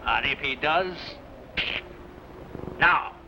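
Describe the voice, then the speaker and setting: A man's voice: fairly high pitch, very slow, creaky, sharp tone, dull audio, exaggerated voice. A man playing a scheming, commanding leader of a ship's mutiny, sinister, in an old-time radio adventure. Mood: menacing, commanding. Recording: very noisy old radio recording.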